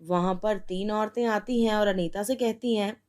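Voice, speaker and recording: A woman's voice: mid-range voice, steady tone, moderate pace, a little mellow. A woman narrating a scripted children's fairy tale as the storyteller.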